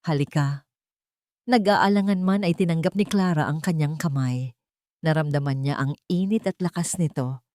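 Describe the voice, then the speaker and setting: A woman narrating a scripted romance story in a dramatic, measured way, phrase by short phrase. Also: lowish voice, a little mellow, no echo, clean studio sound.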